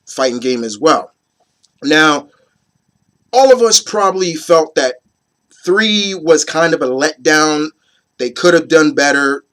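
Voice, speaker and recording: A hoarse man in his thirties, getting over a cold, hosting his opinion video in a rambling, casual way with many fillers and restarts. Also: mid-range voice, fairly bright tone. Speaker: host of an opinion video series. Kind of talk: casual chat to camera.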